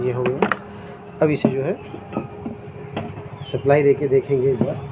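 A man's voice: mid-range voice, steady tone, a little slow, very noisy. A man explaining a welding machine repair step by step, speaking casually at his workbench. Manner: plain step-by-step explaining while working. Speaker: electronics repair technician. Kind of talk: casual repair tutorial narration. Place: repair workshop bench.

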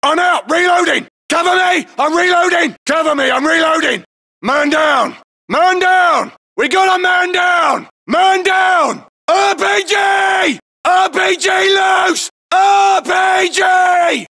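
An actor playing a tough British SAS soldier in a military shooter game, yelling urgent, clipped battle callouts. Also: male voice, very high voice, slow, a faint crowd, exaggerated voice. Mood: urgent, shouting.

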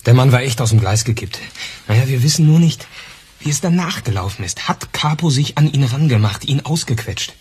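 An actor playing a probing police investigator in a radio crime play, pointed and dry. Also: male voice, mid-range voice, expressive, a little fast, darkish tone, slight background noise, lightly acted. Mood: pondering. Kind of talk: German radio drama dialogue.

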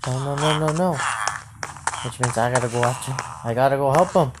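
A low-pitched woman plays through an improvised game roleplay, repeating words and hesitating as she goes. The recording is very noisy, with clicks. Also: fairly quick. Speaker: gamer playing a Minecraft roleplay. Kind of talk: improvised roleplay gameplay commentary.

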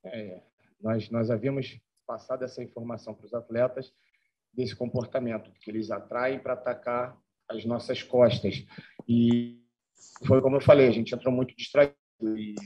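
A man, a football coach, answering press questions in measured, reflective phrases with short pauses at a table mic in a press conference room. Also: medium pitch, fairly even intonation, dark tone, slightly rough. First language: Portuguese. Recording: dull lo-fi stream audio.

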